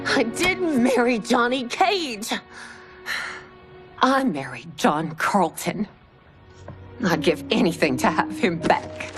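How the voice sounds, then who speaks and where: High-voiced, fed-up wife. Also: female voice, lively intonation, slow pace, long pauses, over music. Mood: sad, resigned. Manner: pointed, reproachful, plain-spoken. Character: exasperated, blunt wife of a fading star. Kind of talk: video game cinematic acting.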